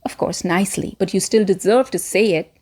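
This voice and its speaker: A woman gives an instructional talk in the manner of a presenter and coach. Her voice sits at a middle pitch for a woman. She addresses the listener directly and encouragingly, placing deliberate stress on the words that matter. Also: darkish tone.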